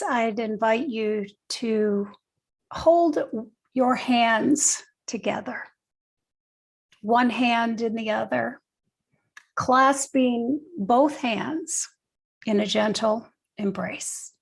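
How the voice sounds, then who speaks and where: An older woman leading a guided meditation, speaking gently and leaving long pauses between short phrases. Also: fairly high pitch, creaky. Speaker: guided meditation teacher, nurse and educator.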